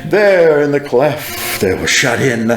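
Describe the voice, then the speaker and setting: A man's voice: a little high, animated. A man playing a fervent mid-century evangelist recounting an apocalyptic vision in a solemn, dramatic monologue. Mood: joyful. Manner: solemn, slow, dramatic storytelling. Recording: roomy echoing voice over music. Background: music.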